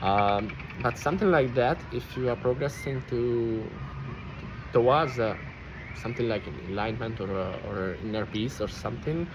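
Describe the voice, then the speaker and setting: A man's voice: medium pitch, animated, moderate pace. A man with a Hungarian accent, a non-native English speaker, thinking aloud in a rambling, reflective vlog, often stopping and restarting as he searches for words. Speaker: vlogger. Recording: noisy background with passing vehicles.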